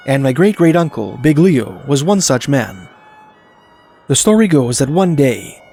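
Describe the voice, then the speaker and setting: A man narrating scripted documentary stories of eerie places in a measured, formal, articulate storytelling manner. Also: medium pitch, darkish tone. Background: music.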